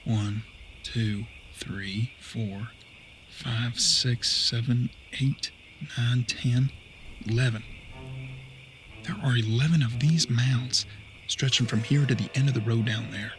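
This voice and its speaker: A man's voice: mid-range voice, fairly even intonation, slow pace, a little hiss or hum, close to an everyday voice, low-quality recording. A man playing an earnest, thoughtful bounty hunter's apprentice in a western audio drama, with folksy frontier talk. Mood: puzzled, uneasy.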